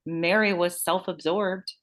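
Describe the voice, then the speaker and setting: A woman's voice: fairly low pitch, fairly even intonation, unhurried, lightly acted. Woman acting a gloating, vengeful beauty-queen killer. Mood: smug, cold. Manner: taunting, profane, theatrical outbursts. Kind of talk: live screenplay table read.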